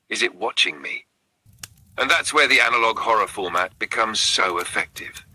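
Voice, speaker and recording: Male horror narrator: raspy, very forceful tone, suspenseful delivery in short, dramatic phrases. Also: fairly low pitch, poor audio. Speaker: narrator of a horror storytelling channel. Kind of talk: scripted narration, spooky campfire storytelling.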